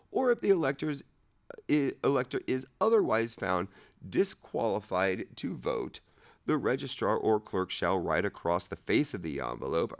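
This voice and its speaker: A man reading legal text aloud haltingly, rereading and correcting himself, with irreverent, offhand commentary of his own. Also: on the low side, gravelly. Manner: irreverent, sarcastic asides. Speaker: amateur reader of a legal document.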